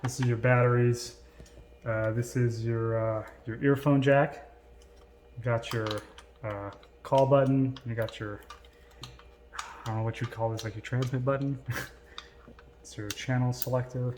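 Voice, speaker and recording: A man talks casually through an unboxing in a halting, off-the-cuff way. His voice sits at an average pitch. There is faint noise with small clicks behind him. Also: fairly even intonation, a little mellow. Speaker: vlogger doing an unboxing. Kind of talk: casual unscripted product unboxing commentary.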